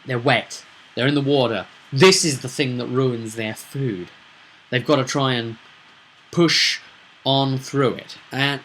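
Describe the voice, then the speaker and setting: Man, bright forceful voice, casual game master monologue, rambling, rhetorical questions, faint background noise. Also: medium pitch, poor audio. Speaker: game master recapping a tabletop roleplay session. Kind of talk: casual explanatory vlog monologue.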